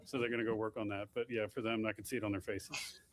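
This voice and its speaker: A man answering questions at a press briefing as a launch official, quick and full of restarts. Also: fairly low pitch, little pitch movement.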